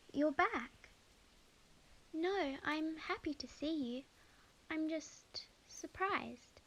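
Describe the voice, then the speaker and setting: Casting: actress as sweet young witch, high voice, unhurried halting delivery, expressive ASMR audio drama. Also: poor audio. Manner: halting, expressive, talks to herself. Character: young witch woman, flustered, sweet, chatty, fond of friend.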